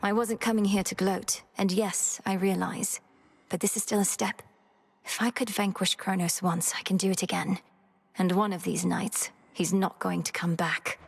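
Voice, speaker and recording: A determined young princess witch of the underworld for a game, formal and measured. The voice is slightly husky and carries a long reverb tail. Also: mid-range voice, little pitch movement. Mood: resolute. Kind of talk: video game voice acting, formal diction. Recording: long reverberant hall effect.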